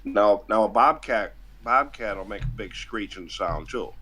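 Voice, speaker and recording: A man's voice: lowish voice, animated, unhurried, bright, forceful tone, a little hiss or hum. A man in a casual voice-chat discussion who chimes in with short, emphatic remarks. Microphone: computer mic in a voice chat.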